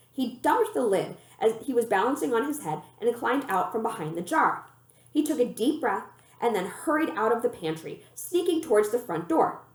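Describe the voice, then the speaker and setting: A woman reading a children's novel aloud as an expressive, animated storyteller. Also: on the high side. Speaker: librarian reading a book aloud. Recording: roomy, echoey room sound.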